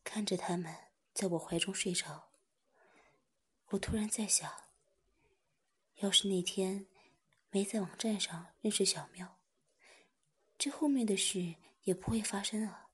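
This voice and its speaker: A woman reading a first-person story aloud in a soft whisper. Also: medium pitch, unhurried, long pauses, slightly rough.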